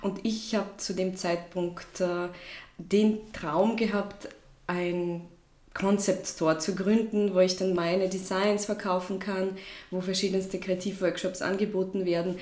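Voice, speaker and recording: Woman, thirties, from Russia, native Russian speaker, podcast guest, reflective hesitant delivery, medium pitch, roomy echo. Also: little pitch movement, a little slow, a little mellow. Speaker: podcast guest, shop founder. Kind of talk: personal storytelling in an interview. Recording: roomy echo, faint background noise.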